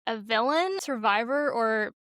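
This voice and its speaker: A woman's voice: fairly high pitch, animated, unhurried, fairly bright tone, no room sound. A woman co-hosting a podcast in a casual, chatty interview style. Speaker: podcast host.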